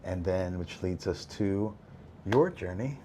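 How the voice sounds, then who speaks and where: Deep-voiced man hosting a faith talk. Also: takes it slowly, a little hiss or hum. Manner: relaxed, reflective, many fillers. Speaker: podcast host. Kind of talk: faith-based recovery conversation.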